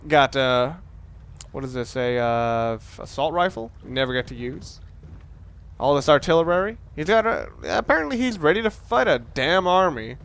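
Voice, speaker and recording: A man's voice: fairly high pitch, expressive, moderate pace, vocal fry, a bit bright. A man giving casual, rambling commentary over a video game, wry and often stopping to restart his sentences. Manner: rambling, wry, self-interrupting. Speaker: let's play commentator.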